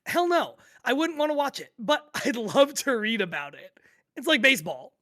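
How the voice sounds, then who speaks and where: A woman's voice: on the high side, sing-song, moderate pace, fairly bright tone. A woman playing an amused, exasperated sports-fan co-host in a scripted podcast table read, chatting casually and swearing freely. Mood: giddy amusement. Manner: conversational, amused, giggly, sweary. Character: animated, exasperated sports-fan co-host recounting an absurd endless football game.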